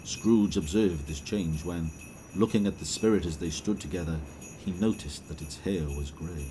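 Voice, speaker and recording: A man narrating a classic story in a measured, scripted way. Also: low voice, noisy background.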